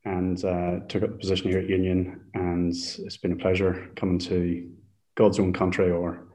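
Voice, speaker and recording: A man from Ireland, a Hebrew lecturer speaking as a guest, thoughtful and halting with fillers. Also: deep voice, completely flat delivery, mellow. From Northern Ireland. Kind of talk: casual interview talk.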